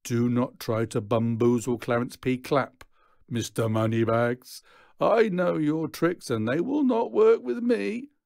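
A man's voice: medium pitch, measured pace, vocal fry, a little mellow, no room sound, clean studio sound, pitched above the performer's own voice. A man voicing a pompous, suspicious male gang boss in a children's storybook, blustering emphatically. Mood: indignant.

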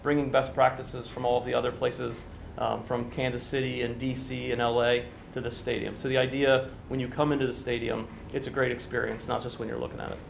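Gravelly man at a press briefing. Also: medium pitch, level tone, fairly quick, noisy background. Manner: explanatory, businesslike, with many fillers. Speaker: construction official. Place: indoor conference room. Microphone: standing microphone, TV camera. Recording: echoey room.

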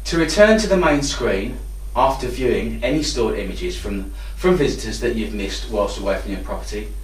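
A man's voice: on the low side, animated, normal speed. A man giving an instructional product demonstration. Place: indoor room with hard walls. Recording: roomy echo, distant microphone.

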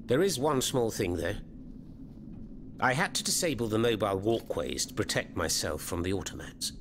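An actor playing a fervent, florid French revolutionary in a video game, speaking with a French accent. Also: male voice, lowish voice, big pitch swings, moderate pace, background noise. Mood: grave, explanatory. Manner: theatrical, florid, eloquent. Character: theatrical, fervent male French revolutionary hiding underground. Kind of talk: video game cutscene acting.

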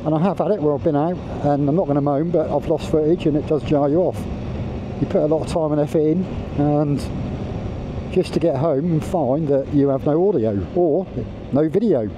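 A man's voice: fairly high pitch, fairly quick. A man vlogging from his motorbike through a helmet mic, rambling and chatty, often losing his thread. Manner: rambling, self-interrupting, chatty. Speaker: motovlogger. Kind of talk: casual riding commentary. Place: on a motorbike on country roads. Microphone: wireless mic inside helmet. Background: wind and engine noise.

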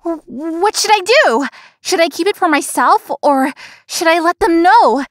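Anxious young florist, high-voiced. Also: female voice, lively intonation, unhurried, no echo, studio quality. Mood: flustered. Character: anxious, chatty young woman florist, polite but cheeky. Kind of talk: visual novel voice acting, inner monologue.

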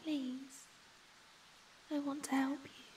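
A woman voicing a shy, gentle young ghost girl in a soft, breathy, often whispered ASMR roleplay, speaking hesitantly and tenderly. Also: sits high, level tone, takes it slowly, distant mic, slight background noise, poor audio. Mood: tender, consoling.

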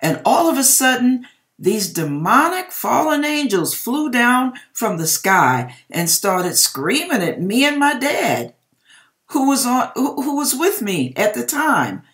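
A woman's voice: fairly high pitch, sing-song, takes it slowly, a bit bright. A middle-aged woman with an American accent reading aloud dramatically and talking directly to viewers in a theatrical, emphatic way, drawing out words, in a home kitchen. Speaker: host reading viewers' dream accounts.

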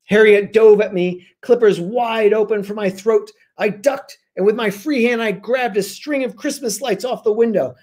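An American man in his forties, a children's author with an animated, theatrical way of talking, on a video call from home. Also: high-pitched, moderate pace, few pauses. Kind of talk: dramatic storybook reading and casual chat. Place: small room at home. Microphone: laptop mic on video call.

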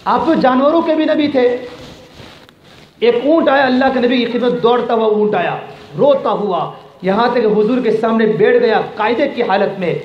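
Male preacher, high voice, emphatic religious storytelling with Arabic quotations, dull lo-fi recording. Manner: emphatic, repeats phrases for effect. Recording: echoey, dull lo-fi, faint noise.

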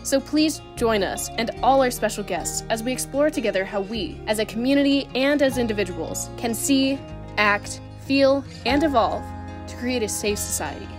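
High-pitched earnest woman announcer over music. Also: normal speed. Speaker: event announcer. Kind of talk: scripted promotional narration. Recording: music behind the voice.

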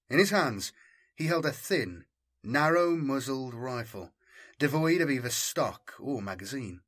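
Husky-edged man narrating fiction. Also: medium pitch, fairly bright tone. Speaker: audiobook narrator. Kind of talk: scripted dramatic narration of a military science fiction story.

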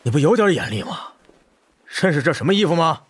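Man acting out arrogant army officer, hot-tempered, blunt, husky, highly animated pitch, audiobook. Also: brisk. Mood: cold contempt. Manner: curt, domineering, blunt. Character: arrogant, hot-tempered young military officer, protective brother.

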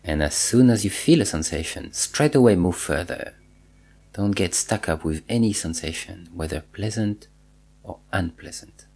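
A man guiding a body scan meditation, giving measured instructions in short phrases with pauses and repetition. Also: low-pitched, expressive, a little mellow, breathy edge. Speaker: meditation teacher.

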